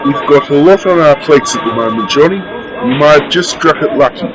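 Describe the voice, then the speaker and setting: A man playing a brisk, practical police detective in a radio play, matter-of-fact and official. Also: fairly high pitch, lively intonation, over music, lightly acted, low-quality recording. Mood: businesslike.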